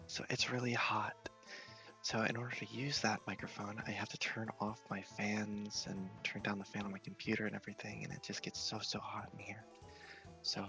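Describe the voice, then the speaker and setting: A man with a lowish, gravelly voice gives soft-spoken ASMR game commentary. He rambles casually and takes his time, sometimes slipping into a whisper, close on a headset mic with music behind him. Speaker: host of a gaming channel. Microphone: headset microphone.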